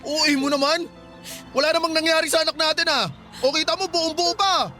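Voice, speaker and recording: A high, whiny Filipino slacker dad. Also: male voice, steady tone, fairly quick, sharp tone. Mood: whining, defensive. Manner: whiny, stammering excuses. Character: irresponsible, defensive young father and gambler. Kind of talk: radio drama acting. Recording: music behind the voice. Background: background music.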